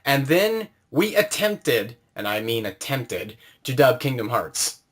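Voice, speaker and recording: A man with a mid-range voice hosts a relaxed-format interview. He talks casually and conversationally, as host to his guest. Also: medium pitch, takes it slowly.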